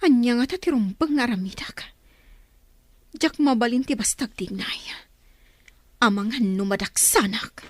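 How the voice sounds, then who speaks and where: A woman's voice: a little high, a little slow, long pauses, no room sound. A woman playing an anxious, tender-hearted young daughter in a radio drama, murmuring with worry. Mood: worried murmuring. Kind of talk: Filipino radio drama acting.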